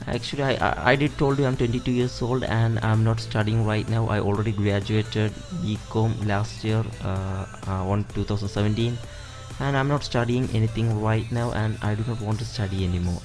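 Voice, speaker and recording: A man's voice: on the low side, normal speed, music in the background. A man in his twenties from Kerala whose first language is Malayalam, chatting casually as he answers viewer questions over mobile gameplay. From Kerala, South India. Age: 20s. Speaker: gaming YouTuber. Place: indoors, playing on a phone.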